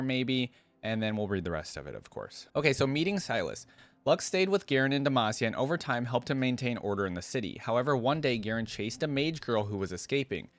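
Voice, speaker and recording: A man's voice: medium pitch, no echo. A man hosting a reaction video, chatting in a laid-back way as he comments and reads aloud. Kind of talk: casual commentary with read-aloud passages.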